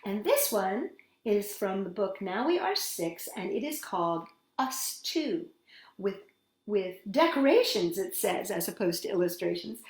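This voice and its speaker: A woman reads and chats to children as a storytime host in a roomy, echoing space. Her voice swings widely in pitch and takes its time. She is expressive and talks directly to her young audience. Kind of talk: storytime read-aloud and chat to children.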